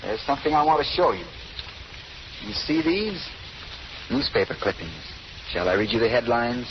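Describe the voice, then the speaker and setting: An actor playing a calm, devoted gentleman sweetheart, earnest and measured in old-time radio drama style. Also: mid-range voice, natural voice. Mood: earnest, urging. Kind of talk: 1930s radio drama acting. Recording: muffled, noisy old radio recording.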